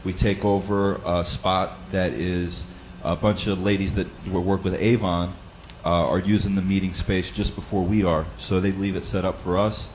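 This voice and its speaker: Loud-voiced man giving practical teaching tips. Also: deep voice, level tone, moderate pace, hardly any pauses, slight background noise, rough recording. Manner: conversational, with frequent fillers and restarts. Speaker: Bible study teacher. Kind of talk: instructional talk.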